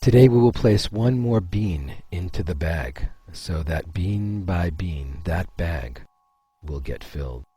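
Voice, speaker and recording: A man leading a guided meditation, speaking in a slow, soothing way with pauses between phrases. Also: low-pitched, expressive, mellow, husky, no echo.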